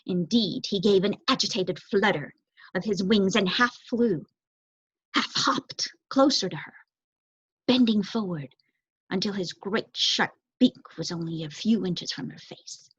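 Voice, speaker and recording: A woman's voice: medium pitch, measured pace, slightly rough, no echo. A young adult woman reading a children's story aloud as scripted narration. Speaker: storybook reader, student. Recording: dull, lo-fi close recording.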